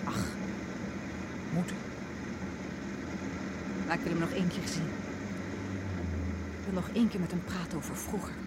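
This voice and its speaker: A woman's voice: on the low side, steady tone, moderate pace, pauses often, lightly acted. An actress playing a headstrong, blunt woman journalist in a radio play, curt, challenging and emotional. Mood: wistful. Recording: noisy radio play recording, car sounds. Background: car engine and road noise.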